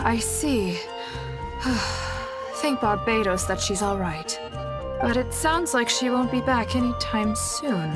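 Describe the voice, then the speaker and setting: A woman's voice: mid-range voice, close to an everyday voice. A woman playing a dutiful cathedral sister in a video game, speaking in a composed, polite and formal way. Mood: concerned. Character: courteous, dutiful church sister running a cathedral. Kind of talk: English video game voice acting.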